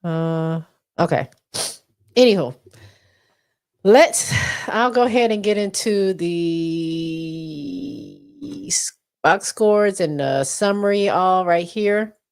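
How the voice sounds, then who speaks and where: A woman's voice: fairly low pitch, takes it slowly, long pauses, darkish tone. A woman with an American accent, stuffy from allergies, casually recapping basketball stats with lots of ums. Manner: reads stats with many fillers. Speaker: podcast co-host.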